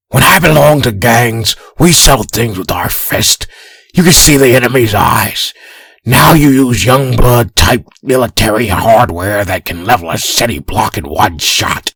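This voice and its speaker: A man playing a cantankerous, bloodthirsty old man with a raspy voice, ranting scornfully in a comic dub. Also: mid-range voice, fairly bright tone, dry recording, exaggerated voice. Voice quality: gravelly, raspy. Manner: scornful, crotchety.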